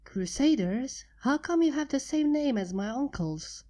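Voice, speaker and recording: A woman voicing a shy young dragon girl who talks hesitantly and softly, a touch higher than her own narrating voice. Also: lively intonation, measured pace. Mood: timid. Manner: hesitant and soft-spoken.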